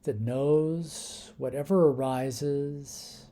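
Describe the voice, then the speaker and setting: A man leading a guided meditation in a calm, unhurried manner, leaving long pauses between short phrases. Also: fairly high pitch.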